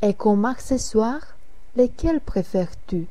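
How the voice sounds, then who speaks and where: A woman reads Marie, an adult woman talking about clothes and colours, in a learners' dialogue. The delivery is very slow and careful. The voice is mellow and dark-toned. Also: a little high, expressive, no echo. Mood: matter-of-fact. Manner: careful, evenly paced reading. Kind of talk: slow scripted language-lesson dialogue.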